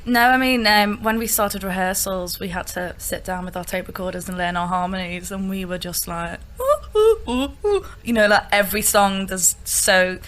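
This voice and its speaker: Expressive woman with a Liverpool accent. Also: mid-range voice, normal speed. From Liverpool, England. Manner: proud, expressive, sings snippets. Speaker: musical theatre actress, guest.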